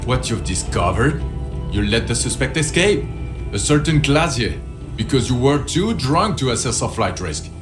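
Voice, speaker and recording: An actor playing a stern, skeptical senior police officer with a blunt, sarcastic manner in a video game. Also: male voice, mid-range voice, very animated, normal speed, over music, natural voice. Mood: accusing.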